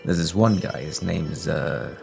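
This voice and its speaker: A man playing a reluctant, folksy storyteller who narrates in a halting, informal way in an audio drama. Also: extremely low pitch, vocal fry, heavily acted. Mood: hesitant, musing. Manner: halting, informal, plain-spoken. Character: reluctant, folksy male storyteller retelling a Christmas tale. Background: music.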